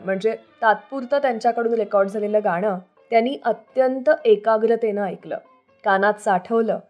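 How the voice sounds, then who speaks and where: A woman's voice: on the high side, darkish tone. A woman hosting a radio show, narrating scripted stories about old songs. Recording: music playing under the voice. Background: background music.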